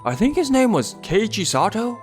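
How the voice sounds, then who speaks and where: A man's voice: high voice, sing-song, normal speed, soft background music. A man voicing a friendly, gossipy young office colleague in an English manga dub, casual in manner. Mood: chatty, confiding.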